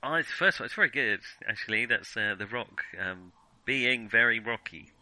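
A man's voice: on the low side, lively intonation, normal speed, sharp tone, no echo, poor audio. A British man co-hosting a podcast, chatting casually about films in a reactive way with frequent agreeing interjections. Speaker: podcast co-host.

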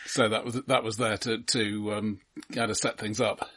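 A man's voice: on the low side, flat delivery, moderate pace, a bit bright. A middle-aged man in a casual, analytical conversation about films as a podcast co-host. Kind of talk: casual analytical film discussion.

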